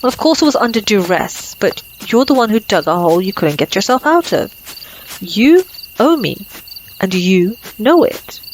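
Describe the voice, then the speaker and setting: A woman's voice: mid-range voice, very animated, normal speed, a little hiss or hum, lightly acted, low-quality recording. Woman acting a teasing female vampire, night insects behind. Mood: smug, teasing, then menacing, flirtatious. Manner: teasing, sardonic, taunting. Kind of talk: ASMR roleplay acting.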